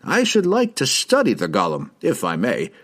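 A man voicing an excitable, fussy old wizard scholar. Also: mid-range voice, normal speed, pitched above the performer's own voice, exaggerated voice. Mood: eager.

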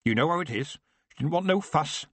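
A folksy, teasing old witch woman, put on by a male reader, her husky voice leaping up in pitch. She speaks in an earthy country way, and the sound is close and dry. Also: lively intonation, a little fast, no echo. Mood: consoling.

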